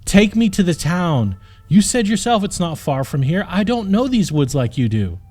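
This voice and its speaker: Guy doing a bratty young storybook princess, high voice, haughty n insistent, swoops around. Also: sing-song, moderate pace, darkish tone. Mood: pleading. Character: spoiled, headstrong young princess, indignant and pleading.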